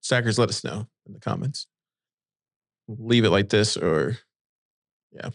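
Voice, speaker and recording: Husky, gravelly-voiced man co-hosting. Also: lowish voice, unhurried, dry recording, clean studio sound. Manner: relaxed, rambling, reads quotes aloud with fillers. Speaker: podcast co-host. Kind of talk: casual chat, reading posts aloud. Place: cold room by a window.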